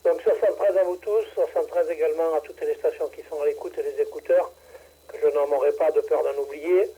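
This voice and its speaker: Radio club guy running the weekly net, super mellow dark voice, kinda husky, lots of uh and restarts. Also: a little high, fairly even intonation, a little fast, rough recording. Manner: hesitant, many fillers and restarts. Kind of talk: amateur radio net, relaxed chat. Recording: radio transmission.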